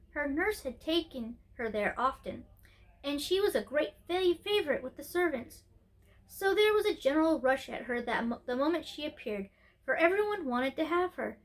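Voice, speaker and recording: A woman reading a children's story aloud as an expressive storyteller, occasionally stumbling over a word. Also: high-pitched, low-quality recording. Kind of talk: scripted narration, storybook read-aloud.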